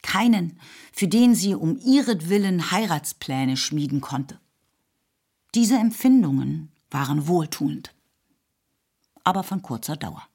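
A woman narrating a literary radio drama in a calm, even, precise way. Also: fairly low pitch, slow pace, long pauses, husky. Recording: music under the narration.

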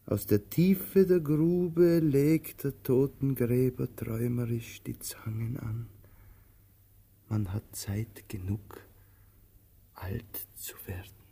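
Slow, brooding tramp. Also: male voice, medium pitch, warm tone, slightly rough. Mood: gloomy musing. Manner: halting, musing, with long pauses and sudden urgency. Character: weary, brooding male tramp, anxious and waiting. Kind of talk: slow theatrical radio drama acting.

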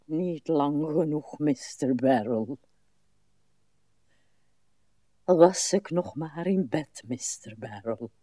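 An actress playing a weary, morbid, rambling old woman in a radio play, with slow, plaintive delivery. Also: extremely low pitch, dark tone, dry recording, exaggerated voice. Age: older.

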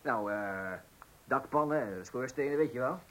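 A man's voice: mid-range voice, normal speed, fairly bright tone, close to an everyday voice. An actor playing a hesitant, gullible suburban husband and father who stammers and repeats himself in a radio comedy play. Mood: chatty, hesitant.

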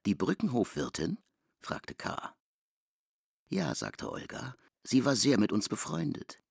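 A man narrating a literary German radio drama in a gravelly voice, voicing the dialogue as he reads. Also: medium pitch, huge pitch swings, a little slow, no room sound, clean studio sound, full character voice. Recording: prominent music under the voice. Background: music.

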